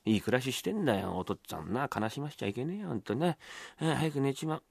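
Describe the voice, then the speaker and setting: 男性落語家が演じる気弱でぼそぼそ話す貸本屋. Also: male voice, medium pitch, expressive, quick pace, vocal fry, husky, no echo, voice pushed down. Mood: muttering, musing. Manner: deferential, muttering, fussy. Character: timid, fawning book-lender, a gifted mimic, easily bribed. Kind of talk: rakugo storytelling.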